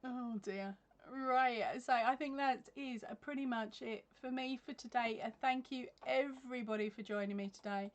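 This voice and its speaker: Lady chatting to her stream at her desk webcam, voice sits a bit high, laughs a lot. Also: steady tone, normal speed, few pauses. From England. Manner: chatty, laughs often, many ums and restarts. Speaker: crochet livestream host. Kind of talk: casual live-stream chat reading comments. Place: craft room at home with yarn shelves.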